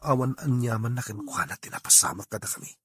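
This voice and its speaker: A man performs a young drinker who is easily rattled, in an audio drama. His voice sits at a medium pitch with a rough, husky edge. The recording is dry, with no room sound. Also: fast, a bit bright, dry recording. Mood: confused, alarmed.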